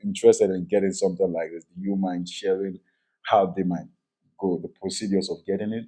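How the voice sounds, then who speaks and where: A man's voice: low-pitched, steady tone, fairly quick, dark tone, breathy edge. A man hosting a podcast in his studio, talking earnestly and rambling with many fillers. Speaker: podcast host. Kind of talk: podcast interview.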